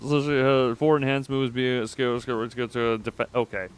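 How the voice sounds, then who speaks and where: A man giving casual, informal commentary on a game stream, with halting phrasing. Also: mid-range voice, flat delivery, clear, resonant tone. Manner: halting, informal, mildly sweary. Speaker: live streamer.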